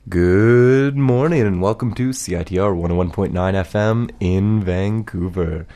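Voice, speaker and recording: Male radio co-host, very low voice, loose conversational delivery. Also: a little slow, warm tone. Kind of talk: casual morning radio chat.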